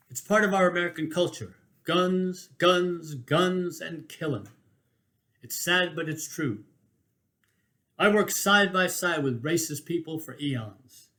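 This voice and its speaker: A man with a slightly high-set voice reads a written personal chronicle aloud. His delivery is that of a storyteller making a case, emphatic and rhetorical. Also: fairly bright tone. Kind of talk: dramatic reading of a first-person personal essay.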